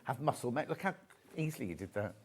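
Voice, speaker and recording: A man's voice: medium pitch, animated, fast talker, slight background noise. Chatty teasing man in his sixties. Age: 60s. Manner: teasing, chatty, reads out viewer messages. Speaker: co-host. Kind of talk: casual chat.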